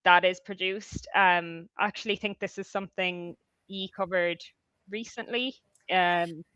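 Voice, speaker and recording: A woman's voice: lowish voice, steady tone, a bit bright, clean tone, no room sound. Thoughtful woman on dull, dry call audio. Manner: measured, thoughtful, slightly hesitant. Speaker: head of research, guest speaker. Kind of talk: webinar presentation and discussion.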